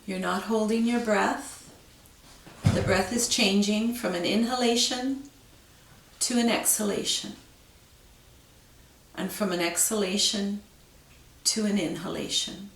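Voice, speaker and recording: A woman teaching yoga, guiding a breathing meditation with slow, calm, soothing instructions. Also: medium pitch, gravelly, roomy sound, recorded from a distance, low-quality recording.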